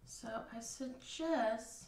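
Woman, craft tutorial, chatty and relaxed, gravelly edge, roomy sound from a bit away. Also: a little high, very slow. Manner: relaxed, chatty, thinking aloud with fillers. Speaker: craft instructor. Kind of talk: casual hands-on craft tutorial. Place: indoor craft table. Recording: roomy, slightly distant.

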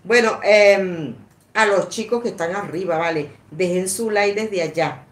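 A woman in her sixties hosting a casual live stream, reading out comments in a rambling, halting, repetitive way. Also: mid-range voice. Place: small indoor room at a table. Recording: echoey room, some background noise.

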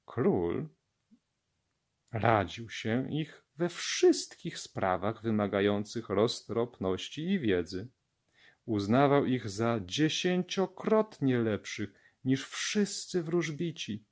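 A man reading Bible scripture aloud as a narrator for children, with measured, deliberate enunciation. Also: mid-range voice, animated, darkish tone. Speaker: Bible story reader.

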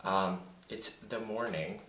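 A man chatting casually into a handheld camera in a rambling, self-deprecating way. His voice is deep and sounds a bit sick in the morning. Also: takes it slowly, a bit bright, roomy sound, a little hiss or hum, poor audio. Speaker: vlogger. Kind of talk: casual vlog monologue. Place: home in Berlin. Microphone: handheld camera mic.

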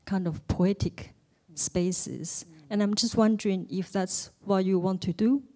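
A woman's voice: mid-range voice, fairly even intonation, measured pace, warm tone. A woman in a cinema audience asking a careful, polite question into a roving mic. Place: cinema auditorium. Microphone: roving handheld mic.

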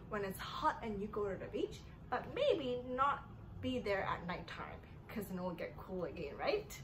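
A woman's voice: a little high, noisy background. A woman in her thirties in a sing-song children's story-time style, asking simple questions as she teaches. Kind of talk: children's read-aloud and teaching. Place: living room indoors.

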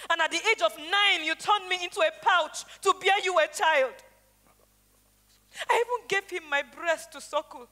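This is a bitter wife and mother on a theatre stage. She accuses in a declamatory way with a Nigerian accent, her voice piercingly high and forceful. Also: moderate pace. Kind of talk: stage play acting.

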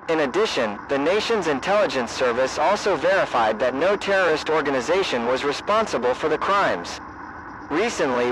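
Male alert announcer, higher-pitched voice, scripted public warning, official, measured, noisy broadcast recording. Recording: noisy emergency broadcast audio.

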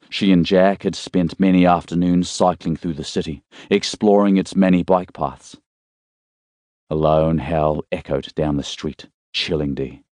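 A man reading a thriller novel as an audiobook narrator, in a measured, suspenseful storytelling way. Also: extremely low pitch, a little mellow, dry recording, clean studio sound.

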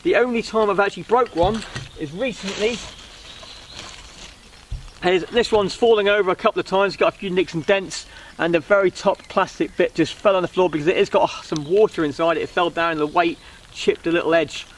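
A man with a high-pitched voice talks through gear in an informal, hesitant vlog style. He rambles as he goes, recorded outdoors at some distance from the camera, with clear background noise. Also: steady tone, brisk. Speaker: vlogger reviewing budget camping gear. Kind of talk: casual product review to camera. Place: outdoors on a wooden riverside deck. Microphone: camera a couple of metres away.

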